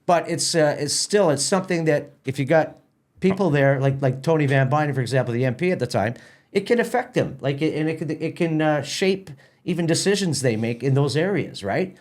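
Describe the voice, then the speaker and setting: A man with a Canadian accent chatting casually as an interview guest, explaining things in a halting, rambling way with repeated words. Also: on the high side, expressive, darkish tone. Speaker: festival organiser and stage manager, podcast guest.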